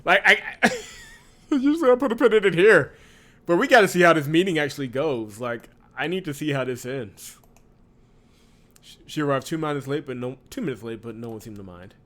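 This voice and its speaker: A man's voice: a little high, lively intonation, a little fast. A man hosting a visual novel playthrough, reading the narration aloud and reacting casually. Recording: loud game music behind voice. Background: game music.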